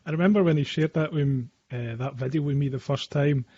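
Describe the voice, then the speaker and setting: A man's voice: fairly high pitch, a little fast, mellow, breathy edge, dry recording. A man chatting casually with friends, recalling things in a joking tone. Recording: dull lo-fi audio over music. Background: guitar music.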